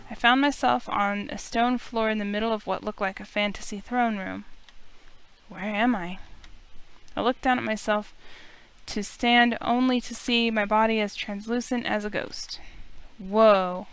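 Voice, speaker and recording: A woman's voice: on the high side, a bit bright, clear, resonant tone. A woman streamer reading a visual novel aloud as dramatic first-person narration. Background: faint music.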